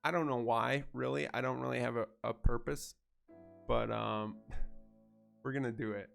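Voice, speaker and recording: A man chats casually through a gameplay livestream. His stuffed-up head cold leaves him nasal, with a gravelly rasp in his tone. He sounds easygoing and friendly, and faint music plays behind him. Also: medium pitch, fairly even intonation, moderate pace. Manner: easygoing, chatty, thanks viewers warmly. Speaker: streamer.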